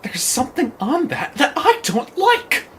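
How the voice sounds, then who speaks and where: An actor voicing a hungry, impatient pizza troll who whines and shouts complaints in an audio drama. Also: high-pitched, huge pitch swings, a little slow, noisy background, full character voice. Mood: picky, displeased. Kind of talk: fan audio drama acting. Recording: music behind the voice.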